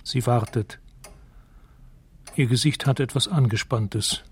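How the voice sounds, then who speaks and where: A man narrating a scripted radio drama in a measured, matter-of-fact way, describing scenes in the present tense. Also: medium pitch, slightly rough.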